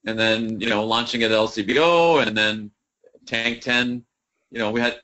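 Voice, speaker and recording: A man with a bright, forceful voice talks casually as a podcast guest, easygoing and joking. His pitch jumps up when he gets going. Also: normal speed, low-quality recording. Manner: relaxed, joking, swings up in pitch. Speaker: brewery guest. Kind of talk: casual interview chat.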